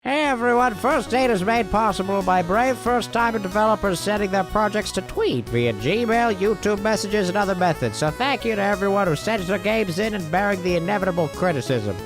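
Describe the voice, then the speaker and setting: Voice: mid-range voice, lively intonation, brisk, hardly any pauses, over music. A channel host reading an upbeat, playful scripted outro.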